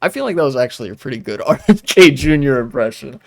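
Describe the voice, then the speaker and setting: A man's voice: medium pitch, very animated, fast, vocal fry, no room sound. A young man streaming while gaming, talking casually with many restarts and blunt asides.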